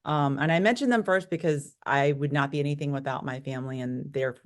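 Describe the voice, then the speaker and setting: A woman's voice: deep voice, a little fast. A woman in her late forties with an American accent, telling her own story candidly and talkatively as an interview guest. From United States, born in Korea. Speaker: interview guest, real estate broker. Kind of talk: conversational interview answers, personal storytelling.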